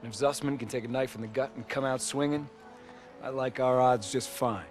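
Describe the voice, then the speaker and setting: A man's voice: medium pitch, a little fast, lightly acted. A man playing a firm army lieutenant. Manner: firm, steady briefing. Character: protective male lieutenant briefing his squad. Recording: with loud music underneath.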